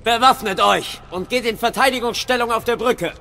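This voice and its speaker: An actor playing a commanding senior knight with an urgent, martial manner in an audio drama. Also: male voice, extremely high pitch, moderate pace, creaky, bright, forceful tone, long reverb tail, soft background music, exaggerated voice. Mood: rallying. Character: commanding, battle-ready senior knight of an order.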